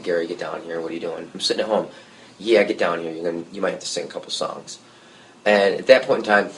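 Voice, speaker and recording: A man, a guest musician, telling lively stories in a casual podcast conversation with jokey asides. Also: low voice, a little hiss or hum, rough recording. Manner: storytelling, animated, jokey asides.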